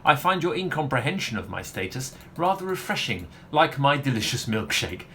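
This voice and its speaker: A man's voice: medium pitch, big pitch swings, moderate pace, a bit bright, slight background noise. A man playing a self-satisfied, condescendingly charming novelist in an audio comedy, speaking in a pompous, flowery way. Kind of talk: family comedy audio play acting.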